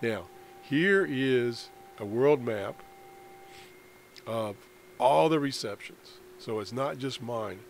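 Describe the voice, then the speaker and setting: An American man talks through a software demonstration in a halting, explanatory way. His pitch is average for a man, and some faint noise sits behind the voice. He sounds like a hobbyist teaching without a script. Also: animated. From United States. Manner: halting, explanatory delivery with trailing phrases. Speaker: amateur radio hobbyist presenting a tutorial. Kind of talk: unscripted software screen demonstration. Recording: clear background noise on voice-over.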